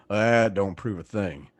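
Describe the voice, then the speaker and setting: A man's voice: fairly low pitch, expressive, takes it slowly, dry recording. Man acting a folksy, suspicious neighborhood bully. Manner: folksy, accusatory. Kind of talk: radio play acting.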